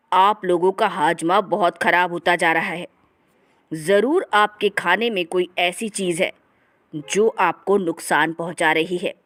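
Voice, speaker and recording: A woman voicing a matter-of-fact doctor in a family comedy story, measured and instructive. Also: on the low side, a bit bright, no echo, clean studio sound. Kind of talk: acted dialogue in a Hindi family comedy story.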